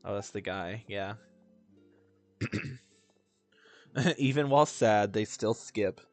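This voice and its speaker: A man's voice: lowish voice, extremely slow. Blunt, slangy man reacting to a game. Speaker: gaming streamer. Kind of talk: casual gameplay reaction commentary. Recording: faint game music behind the voice.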